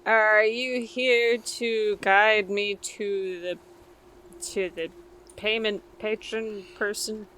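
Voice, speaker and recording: A woman's voice: mid-range voice, fairly even intonation, drawn-out words, sharp tone, voice pushed up. A woman playing a jumpy, indignant male mage in a tabletop game, complaining in slangy, repetitive bursts. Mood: wary. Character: jumpy, grossed-out male mage terrified of clowns. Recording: faint noise, background ambience.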